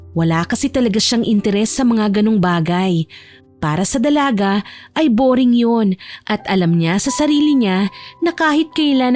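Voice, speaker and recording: A woman's voice: on the high side, darkish tone. A woman narrator delivering dramatic scripted storytelling for an audio drama.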